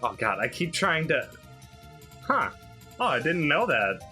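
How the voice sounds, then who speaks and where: Bright-voiced man, casual gamer. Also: a little high, very animated, measured pace. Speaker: let's play host playing the game. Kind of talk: casual gaming commentary. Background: faint game music.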